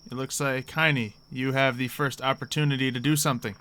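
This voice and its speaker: A man's voice: mid-range voice, steady tone, brisk, pushed and bright. A man chatting casually as he hosts and runs a tabletop roleplay game, at times muttering to himself as he sets things up. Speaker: game master and host.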